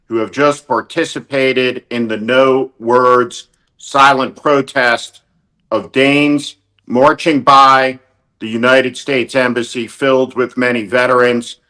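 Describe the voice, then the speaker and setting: Man, news interview host, measured, direct questions, middle pitch. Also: flat delivery, bright, forceful tone.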